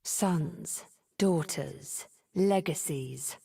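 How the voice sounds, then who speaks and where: A woman playing a menacing, scheming sorceress in a video game, whispery and taunting, with deliberate theatrical delivery. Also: deep voice, a loud crowd, exaggerated voice. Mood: menacing promise. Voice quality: breathy, whispery. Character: menacing, scheming sorceress leader of a secret order. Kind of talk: video game character voice lines.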